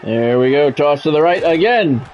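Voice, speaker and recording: A man's voice: fairly high pitch, animated, normal speed, darkish tone, some chatter behind. Man calling football, dull lo-fi sound. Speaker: game streamer calling play-by-play. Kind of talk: live sports commentary over a football video game, mixed with casual remarks. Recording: dull lo-fi sound, faint background noise.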